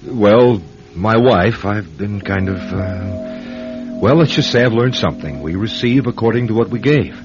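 An actor playing a self-important, impatient middle-aged author in a radio drama, halting and testy as he talks. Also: on the low side, close to an everyday voice. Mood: musing. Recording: dull lo-fi radio recording over music.

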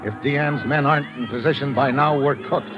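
Cool-headed American man of action acted by a man, hard-boiled radio serial style, mid-pitched, muffled vintage sound. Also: brisk, natural voice. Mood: tense narration. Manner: clipped, hard-boiled, matter-of-fact. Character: tough wisecracking American male secret agent, cool under fire. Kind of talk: 1950s radio adventure acting with first-person narration. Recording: dull old radio recording, music behind.

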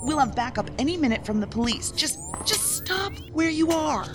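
A wary, sharp-tongued federal agent in an audio drama. Her voice is high and animated, pointed and exasperated, with music clearly behind it. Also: moderate pace. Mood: worried, firm.

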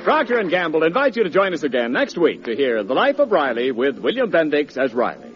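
A man's voice: on the high side, wildly swooping pitch, a little fast, over music. A man announcing on 1940s radio, with polished, emphatic sales delivery. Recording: muffled old radio broadcast.

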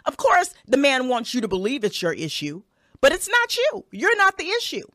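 A woman's voice: high voice, huge pitch swings, normal speed, pushed and bright, dry recording, studio quality. A woman in her thirties hosting a solo podcast, giving blunt, profane advice and often tacking "okay?" onto her points. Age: 30s. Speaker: podcast host. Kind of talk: casual advice monologue.

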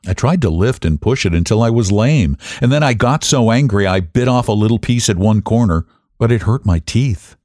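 A man's voice: on the low side, lively intonation, normal speed, darkish tone, dry recording, studio quality, voice pushed up, close to an everyday voice. A man reading dramatically as an unhinged, secretive, defiant woman narrating a gothic story. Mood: brooding, agitated. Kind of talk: dramatic first-person audiobook reading.

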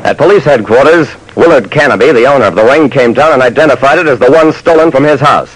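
A man's voice: medium pitch, fast, noisy background, natural voice. An actor playing a wry, slangy detective who narrates his case in a clipped old-time radio style. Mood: narrating. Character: dry, soft-hearted male detective telling his case. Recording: vintage radio broadcast.

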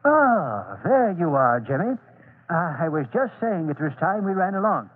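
Shifty, fussy old antique dealer, animated and hesitant, tinny vintage radio. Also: male voice, high voice, measured pace, husky, full character voice. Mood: genial, sly. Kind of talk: old radio serial acting.

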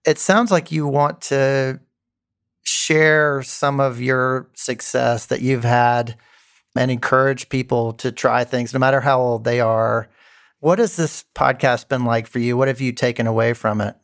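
Smooth-voiced male interviewer. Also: medium pitch, steady tone, unhurried, a bit bright. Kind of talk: interview questions.

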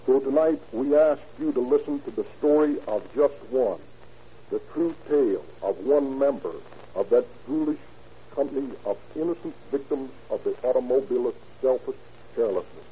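A man's voice: medium pitch, normal speed, warm tone. An American man giving a stiff, solemn, formal prepared address as a police captain on 1930s radio. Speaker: police captain, guest speaker. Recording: muffled, noisy 1930s radio recording.

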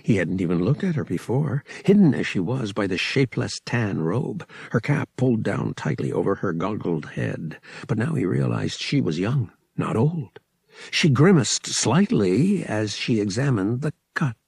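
A man narrates an audiobook with a husky, gravelly voice. His delivery is dramatic and scripted, swinging widely in pitch, and the sound is close and dry. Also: sing-song, normal speed, a little mellow, no room sound.

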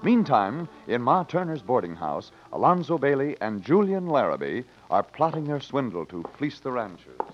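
A man's voice: medium pitch, very animated, normal speed, natural voice. A man with an American accent narrating as the announcer of an old-time radio drama. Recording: dull vintage radio recording. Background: organ music.

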